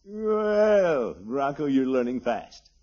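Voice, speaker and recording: An actor playing a pompous but good-natured middle-aged American uncle in a blustery 1950s radio comedy style. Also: male voice, medium pitch, very animated, takes it slowly, darkish tone. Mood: genial. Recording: muffled, noisy 1950s radio recording.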